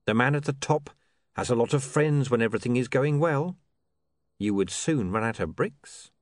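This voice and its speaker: A man voicing a smooth, cynical criminal schemer in an audiobook, sardonic and condescending in his exasperation. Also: medium pitch, animated, normal speed, no echo, studio quality, close to an everyday voice. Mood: smooth cynicism. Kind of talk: audiobook character voice.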